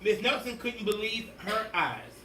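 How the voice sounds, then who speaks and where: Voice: mid-range voice, animated, measured pace, bright, forceful tone. A storybook reader doing a theatrical, emphatic read-aloud of a children's picture book. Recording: echoey room, distant mic, faint noise.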